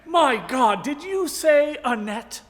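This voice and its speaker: An actor playing an earnest, mock-heroic French-Canadian explorer in a comic live radio play, with formal, theatrical diction. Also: male voice, very high voice, expressive, slow pace, fairly bright tone, full character voice. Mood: shocked, dramatic. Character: earnest, melodramatic French-Canadian explorer, orphaned young hero. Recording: reverberant ballroom stage.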